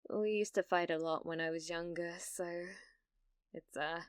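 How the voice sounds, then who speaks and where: A British woman playing a needy, delusional young gamer in an ASMR roleplay, hesitant and stammering, blithely casual about dark things. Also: fairly low pitch, fairly even intonation, normal speed, clean tone, no room sound, studio quality, lightly acted. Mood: casual, chatty.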